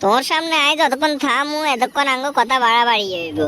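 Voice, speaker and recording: Man as a pitched-up, harried cartoon husband. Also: animated, fairly quick, sharp tone, slight background noise, exaggerated voice. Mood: exasperated. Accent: Noakhali Bangladeshi. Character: harried, long-suffering cartoon husband, an office worker. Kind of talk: comedy cartoon dialogue.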